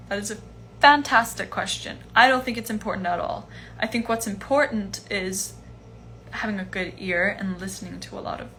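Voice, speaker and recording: A young woman in her twenties answering fan questions in a casual livestream from home, relaxed and chatty with lots of fillers. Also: mid-range voice, vocal fry, slight background noise. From Iceland. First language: Chinese. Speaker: musician hosting a livestream Q&A. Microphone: phone livestream.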